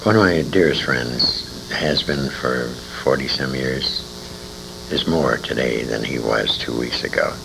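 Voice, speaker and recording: An older man with an extremely low, gravelly voice tells stories in an interview. His delivery rambles and halts while his pitch moves around freely. The amateur 1980s recording has clear background noise. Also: measured pace. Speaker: interviewee, radio veteran. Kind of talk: reminiscing interview storytelling.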